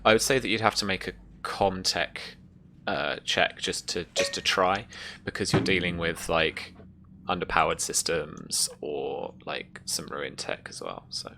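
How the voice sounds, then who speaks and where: A game master improvising narration and rulings for a tabletop roleplaying game, with a halting delivery. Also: deep voice, noisy background.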